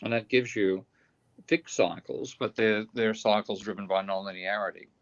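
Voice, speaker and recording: A tired man whose voice is getting croaky, explaining ideas in a live online discussion, thinking aloud with halting restarts. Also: lowish voice, steady tone, muffled recording. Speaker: guest economist. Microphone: video call.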